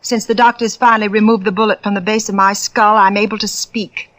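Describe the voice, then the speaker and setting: A woman's voice: mid-range voice, flat delivery, brisk, fairly bright tone, lightly acted. A woman plays a greedy fake medium from an old-time radio drama. She sounds cold and domineering, with a cutting edge to every line. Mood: solemn. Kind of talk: 1940s radio drama acting.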